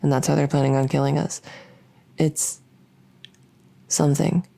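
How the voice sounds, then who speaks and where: A woman's voice: deep voice, level tone, gravelly, dark tone, exaggerated voice. A woman playing a shy, lonely, socially awkward vampire who wants friends, talking in a hesitant, rambling way in an ASMR audio roleplay. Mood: geeky, rambling. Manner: hesitant, halting, rambling, full of self-corrections.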